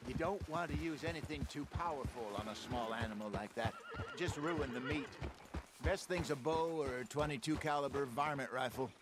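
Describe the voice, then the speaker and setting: An actor playing a patient older American outlaw mentor in a western video game, measured and gently chiding. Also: male voice, medium pitch, very noisy, lightly acted. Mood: instructive, wry. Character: wise, patient old male outlaw mentor. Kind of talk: cinematic western game acting.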